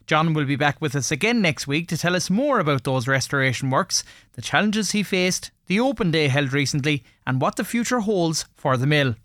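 An Irish man hosts a radio programme in a fairly high male voice. He reads scripted links in a presenter's manner, recorded very dry as in a studio. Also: animated, normal speed, fairly bright tone, no echo. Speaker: radio show host.

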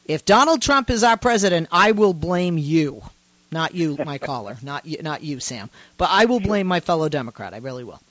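A woman with a low voice for a woman, hosting a call-in talk radio show in a passionate, emphatic, conversational way. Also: big pitch swings, normal speed, a bit bright, no echo. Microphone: studio microphone. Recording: muffled radio broadcast, dry.

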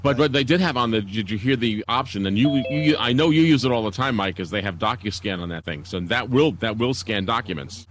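Fast-talking, lower-pitched man. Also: expressive, background noise. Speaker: co-host of a club meeting. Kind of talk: casual group chat.

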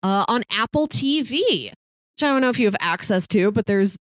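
A woman co-hosting a podcast, chatting casually and pitching shows to her co-host. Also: expressive, moderate pace, dry recording, clean studio sound.

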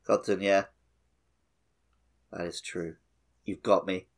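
A man's voice: low-pitched, fairly even intonation, slow pace, vocal fry. A man hosting a casual gaming podcast, talking in a jokey way. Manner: jokey, drops film quotes. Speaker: podcast host and editor.

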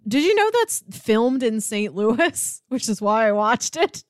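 Animated, higher-voiced American woman podcaster. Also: measured pace, no echo, clean studio sound. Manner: chatty, animated, often laughing while talking. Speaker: podcast co-host. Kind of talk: casual chat about films.

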